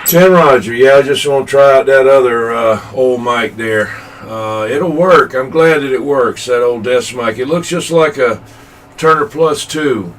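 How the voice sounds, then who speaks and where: A man's voice: mid-range voice, measured pace. A man in a rambling, folksy, casual CB radio chat as a hobbyist operator. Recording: noisy room recording, distant from mic.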